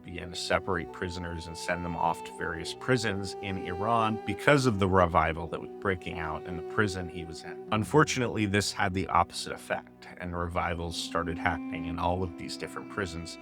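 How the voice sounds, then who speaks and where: A man's voice: deep voice, a little fast, hardly any pauses, over music. A man telling a personal faith testimony in a casual, conversational way, with fillers like "kinda" and "like". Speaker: speaker sharing a testimony.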